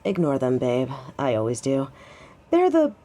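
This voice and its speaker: A woman's voice: rumbling low, huge pitch swings, unhurried, dark tone, exaggerated voice. A woman playing a tough, wry young biker who plays things down, in an audio drama. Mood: wry, dismissive. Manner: wry, downplaying.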